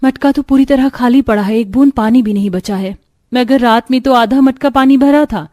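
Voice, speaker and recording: A woman's voice: fairly high pitch, normal speed, mellow, no room sound, close to an everyday voice. Resourceful young village daughter-in-law, voiced by a woman. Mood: concerned, brisk. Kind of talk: cartoon story dialogue.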